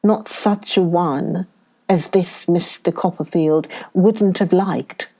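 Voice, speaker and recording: A stiff, stubborn storybook servant woman, voiced by a woman reader, blunt and emphatic, slow and mellow. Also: fairly low pitch, low-quality recording.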